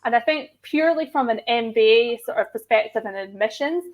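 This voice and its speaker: A woman's voice: a little high, expressive, brisk, dull audio. A woman working as an admissions head, speaking fluently on a video call and often starting with "um". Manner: warm, fluent, with frequent "um". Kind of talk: professional panel talk.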